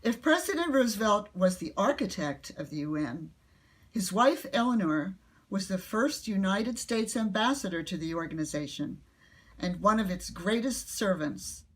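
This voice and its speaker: A woman's voice: medium pitch, expressive, moderate pace, breathy edge. A woman working as a narrator, reading scripted narration.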